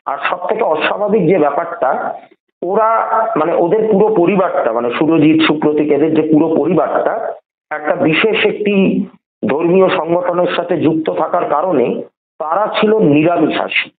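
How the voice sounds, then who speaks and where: A man with a Bengali accent tells a story conversationally on a phone call, explaining deliberately and repeating phrases for emphasis. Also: on the high side. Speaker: podcast guest telling a horror story. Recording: narrow phone-call line, roomy echo.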